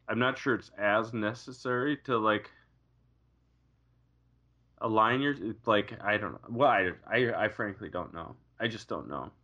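A man's voice: on the low side, sharp tone, muffled recording. A man co-hosting a podcast in casual chat, hedging and restarting as he thinks aloud. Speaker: podcast co-host. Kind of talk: casual chat about a TV show.

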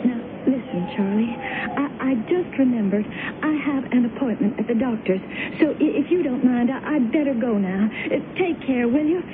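A woman's voice: a little high, normal speed, natural voice. A woman playing an anxious, devoted wife with a hesitant manner, in radio drama style. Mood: nervous, placating. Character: anxious, devoted wife humoring her confused husband. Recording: noisy, dull old radio broadcast.

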